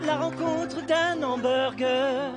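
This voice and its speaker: A woman improvising musical comedy with theatrical, drawn-out sung lines. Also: sits high, steady tone, loud music behind the voice. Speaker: game show guest performer. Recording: loud musical accompaniment.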